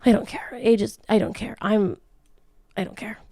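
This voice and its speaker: A woman's voice: mid-range voice, steady tone, moderate pace, warm tone. A woman in her late twenties hosting a casual podcast, answering listener questions in a frank, chatty way with frequent swearing, laughs and restarts. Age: late 20s. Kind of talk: casual chat.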